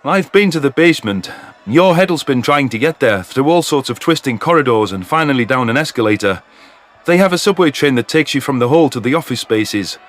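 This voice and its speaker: A man voicing a remorseful older spiny alien, earnest and measured, gently defending others. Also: medium pitch, animated, pitched below the performer's own voice, close to an everyday voice. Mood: explaining.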